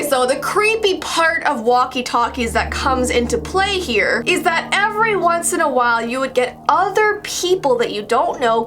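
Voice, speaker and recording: A woman, telling stories to camera in a chatty, animated, emphatic way as a vlog host. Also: high-pitched. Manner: animated, gesturing, casual emphatic delivery. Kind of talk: chatty scripted YouTube storytelling to camera.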